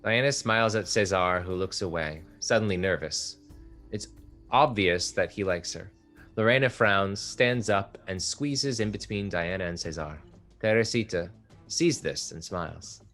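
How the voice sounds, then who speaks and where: Man reading stage directions, steady and measured, very low voice, faint music behind. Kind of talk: play table read narration.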